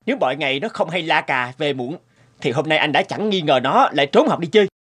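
A man voicing a stern, short-tempered father in a cartoon, scolding in a gruff, husky voice. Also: fairly high pitch, expressive, quick pace, a bit bright. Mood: angry, scolding. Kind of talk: Vietnamese animated moral tale dubbing.

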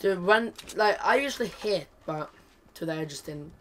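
A man's voice: high voice, animated, moderate pace, a bit bright, a little hiss or hum. A young man from Norway whose voice keeps cracking, chatting casually with a teammate while gaming. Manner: laid-back, swears, laughs often.

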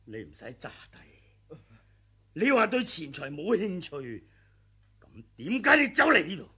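An actor playing a treacherous, greedy aging male sword master in a 1980s radio drama, sly and sneering, with sudden furious yells. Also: fairly high pitch, huge pitch swings, measured pace, slightly rough, heavily acted. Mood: ruthless. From Hong Kong.

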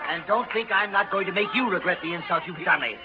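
A prim, indignant small-town storekeeper who lays out every complaint. He talks high and fast, clipped with righteousness. The sound is dull and old-fashioned, with music in the background. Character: stern self-righteous middle-aged male storekeeper. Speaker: actor in radio drama. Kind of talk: 1930s radio acting. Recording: muffled 1930s radio recording.

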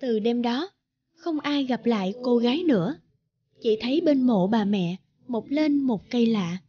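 A woman's voice: a little high, expressive, a little slow. A woman narrator reading a scripted folktale. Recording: dull, band-limited sound.

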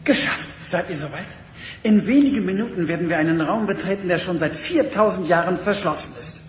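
Radio play: an actor as a calm, authoritative expedition leader, with room echo. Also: male voice, sits high, fairly quick, slight background noise, natural voice. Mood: reassuring. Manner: reassuring, authoritative. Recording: echoey room with music.

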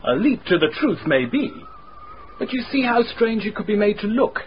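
An actor playing a sharp young gentleman sleuth in a radio mystery, probing and dryly sceptical. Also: high voice, big pitch swings, moderate pace. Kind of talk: dramatic radio acting. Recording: dull, faintly noisy radio recording.

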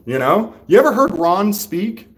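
A man livestreaming from a sheriff's office lobby, talking loudly and casually and rambling with many fillers and restarts. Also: high-pitched, very animated, a little slow. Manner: loud voice, by his own account. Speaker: livestreaming channel host.

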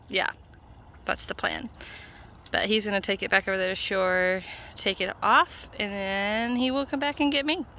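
A woman's voice: medium pitch, bright, forceful tone. Woman, plain mid-range voice, practical explainer, windy water noise behind. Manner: matter-of-fact, explaining the plan. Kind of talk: casual vlog chat. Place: outdoors on open water. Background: wind and water.

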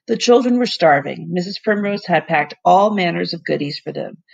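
Husky woman reading a story aloud. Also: lowish voice, lively intonation, highs cut off. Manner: plain, unhurried reading with small slips she corrects and asides to the kids. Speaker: teacher reading aloud to her class. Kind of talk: read-aloud storybook narration.

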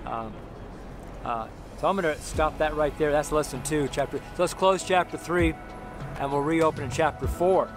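A man's voice: a little high, normal speed, over music. A middle-aged man preaching an informal sermon to the camera on a city sidewalk, rambling and repeating himself with many fillers and restarts. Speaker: street preacher. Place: outdoors on a city sidewalk by a brick wall. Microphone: camera close up, handheld. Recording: noisy outdoor street recording. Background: street traffic noise.

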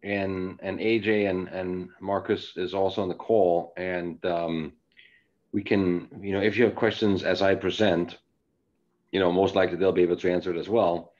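Man from Denmark, middle-aged, very low voice, rambling with fillers, home living room, laptop webcam mic, dull lo-fi audio. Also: darkish tone. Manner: rambling, many fillers and restarts. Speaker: engineering executive presenter. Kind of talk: casual webcast presentation. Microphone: laptop webcam mic, video call. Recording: dull lo-fi video call audio.

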